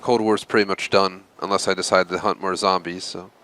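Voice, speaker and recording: A man's voice: low voice, steady tone, a little fast, fairly bright tone, a little hiss or hum. A man hosting a live game stream, chatting casually and rambling with lots of fillers. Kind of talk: casual live-stream chat while gaming.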